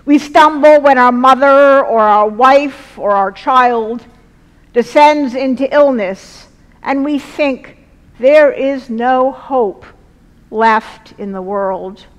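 A middle-aged woman preaching a sermon from a lectern in a large church, speaking earnestly in slow, deliberate phrases. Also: high voice, muffled recording. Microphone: lectern mic, far camera. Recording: long church reverb.

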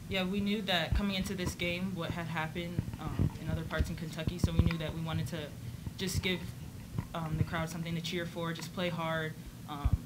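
A young woman basketball player answering reporters in a relaxed, friendly way with occasional fillers, speaking into a desk microphone. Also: sits low, heavy background noise. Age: young adult. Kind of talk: postgame press conference answers. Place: press conference room, indoors. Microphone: desk microphone on stand.

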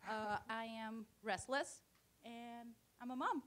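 A woman's voice: a little high, slow pace, fairly bright tone. A woman from Brazil, a communications specialist, introduces herself in a light, chatty way in a conference room. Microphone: handheld microphone.

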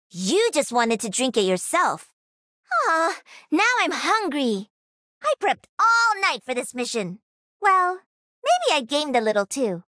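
D.Va, high-pitched cocky gamer girl. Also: sharp tone, no room sound, clean studio sound, exaggerated voice. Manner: peppy, punchy, playful and cocky delivery. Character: cocky, playful young female pro-gamer mech pilot. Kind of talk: video game character voice lines.

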